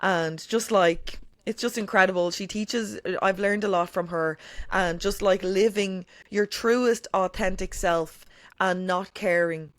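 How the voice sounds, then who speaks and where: A woman's voice: medium pitch, steady tone, measured pace, dry recording. A woman talking as a podcast guest, emphatic and candid, swearing freely and leaning on "like" and "you know". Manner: says she has a strong voice. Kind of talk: candid conversational chat.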